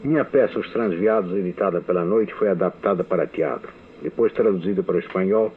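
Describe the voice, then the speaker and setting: Older man, Brazilian Portuguese accent, deliberate memoir reading, somewhat deep voice, thin phone-line sound. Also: slight background noise. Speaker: writer giving a recorded testimony. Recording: tinny phone-like archive radio recording.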